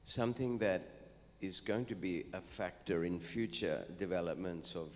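Low-voiced male questioner, formal and wordy, in a hall with a faint hum. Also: moderate pace. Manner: formal, long-winded, with fillers. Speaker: audience questioner.

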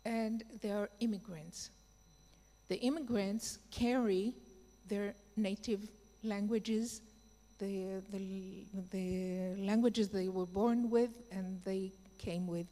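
Slow, measured woman in a hall. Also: mid-range voice, fairly even intonation, mellow. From Israel. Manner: measured, pausing often with fillers. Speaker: panel speaker. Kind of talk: conference panel answer. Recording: reverberant hall.

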